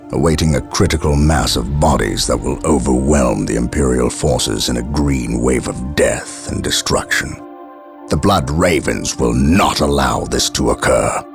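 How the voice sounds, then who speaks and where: An actor playing a grave, commanding Space Marine captain in a sci-fi game, speaking in a solemn, measured and formal way. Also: male voice, rumbling low, full character voice. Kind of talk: scripted military sci-fi game narration and briefing. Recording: loud music behind. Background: loud game music.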